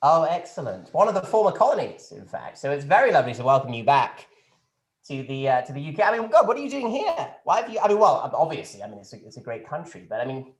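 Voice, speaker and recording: A man's voice: fairly high pitch, big pitch swings, fast, reverberant. A man playing a pompous, flippant posh government minister who rambles and stumbles over a video call. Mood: smug. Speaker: politician taking questions. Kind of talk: satirical press conference sketch.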